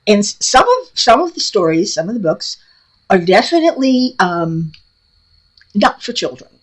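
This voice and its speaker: A woman's voice: medium pitch, big pitch swings, moderate pace, low-quality recording. A middle-aged woman from New York with a New York accent, chatting casually and bluntly in a craft vlog, laughing often. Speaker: craft vlogger. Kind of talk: casual rambling chat. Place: home craft room.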